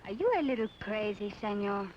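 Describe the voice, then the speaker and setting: A woman playing a proud, sharp-tongued lady. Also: medium pitch, expressive, brisk, slight background noise, lightly acted. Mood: cool. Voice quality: cool, sarcastic. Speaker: outlaw's unwilling fiancée. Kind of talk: 1940s radio acting. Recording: dull old radio broadcast.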